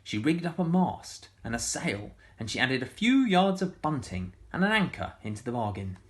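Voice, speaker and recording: A man reading a picture book aloud to schoolchildren, animated and full of sound effects. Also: mid-range voice, moderate pace. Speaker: storytime reader for schoolchildren.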